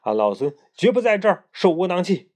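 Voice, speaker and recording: A man voicing a brash, boastful monkey king who flares up quickly, in a told storybook tale. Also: sits high, sing-song, voice pushed up, full character voice. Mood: furious. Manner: loud, boastful, quick-tempered.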